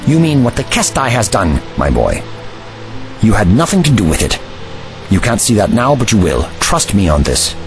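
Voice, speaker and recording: Low male voice, actor as calm wry time-travelling hero, formal and reassuring, very animated intonation, audio drama over music. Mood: earnest, reassuring. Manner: measured, formal, reassuring phrasing. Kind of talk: fan audio drama acting.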